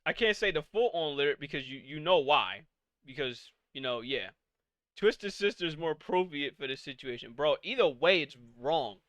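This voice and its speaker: A young man in his late twenties with a high, bright, crisp voice, ranting on a live stream. He swears freely and fires off exasperated rhetorical questions. The sound is close and dry, with no room in it. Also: animated, normal speed, no room sound, dull audio. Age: 20s. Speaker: podcast host. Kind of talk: live-streamed casual rant.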